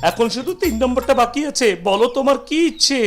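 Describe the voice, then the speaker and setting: An actor voicing a sensible, cautious male sidekick in a Bengali cartoon dub, speaking in a measured, cautioning way. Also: high voice, faint music behind.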